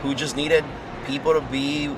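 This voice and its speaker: A man's voice: fairly high pitch, level tone, moderate pace, background noise. A man from Guatemala, a physical therapist, talking earnestly in an interview at a conference booth with many "you know" fillers. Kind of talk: conversational interview.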